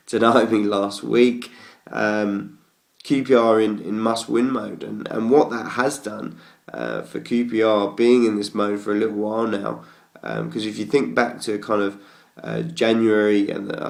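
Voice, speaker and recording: A man with an English accent talks through football betting picks while stuffed up with a cold, his nose clearly blocked. His voice sits low and has a gravelly edge. He pauses often with ums and uhs as he works through the stats. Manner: hesitant, many ums and uhs, stats-heavy. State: congested from a cold. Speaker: betting tipster. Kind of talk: football betting tips video.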